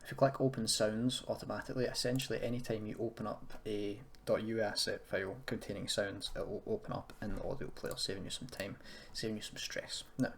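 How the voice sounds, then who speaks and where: A man giving a casual software tutorial, rambling and thinking aloud with self-deprecating asides. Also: on the low side, level tone, a little fast, few pauses. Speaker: tutorial host. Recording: clearly noisy, keyboard clicks and typing. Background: background noise, computer keyboard and mouse clicks.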